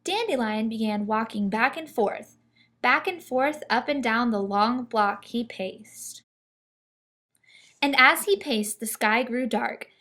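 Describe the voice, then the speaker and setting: A woman reading a children's picture book aloud in an expressive storytelling manner. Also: fairly high pitch, takes it slowly.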